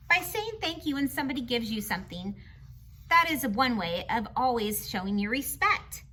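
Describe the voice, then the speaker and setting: A woman with a high, bright voice leads a read-aloud lesson for children. She explains things in simple, instructive language and checks in with questions. Faint noise sits behind her voice. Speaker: teacher reading a picture book aloud to children.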